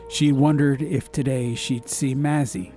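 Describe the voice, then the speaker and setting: A man's voice: mid-range voice, steady tone, measured pace, dark tone, soft background music. A man reading an atmospheric story as an audiobook narrator, in a scripted literary style.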